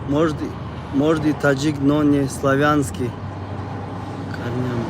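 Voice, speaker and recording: A man's voice: medium pitch, fairly even intonation, unhurried. A man from Tajikistan, chatting casually and ramblingly with viewers on a livestream. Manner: rambling, conversational, repeats phrases. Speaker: live streamer. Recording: noisy outdoor or car street noise.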